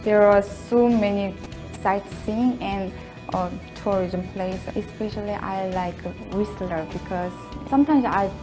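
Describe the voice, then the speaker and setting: A woman from South Korea with a Korean accent, speaking in simple, unhurried phrases as an international student in a testimonial video. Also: medium pitch. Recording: music bed behind voice.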